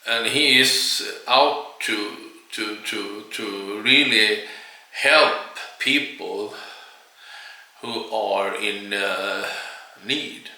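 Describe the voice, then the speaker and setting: Halting Swedish-accented man in echoey room. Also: fairly low pitch, sharp tone. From Sweden. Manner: halting, searching for words in a second language. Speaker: vlogger. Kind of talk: earnest personal commentary.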